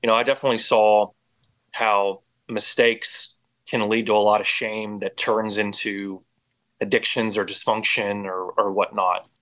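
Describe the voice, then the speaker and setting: A man's voice: on the low side, level tone, measured pace, a bit bright, low-quality recording. An American man giving personal testimony as an interview guest, speaking in an earnest, reflective way. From Indiana and Oklahoma, United States. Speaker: podcast guest.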